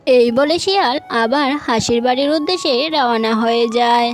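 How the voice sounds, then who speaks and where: A woman's voice: high-pitched, normal speed. A woman narrating a scripted children's animal story, with music playing behind her.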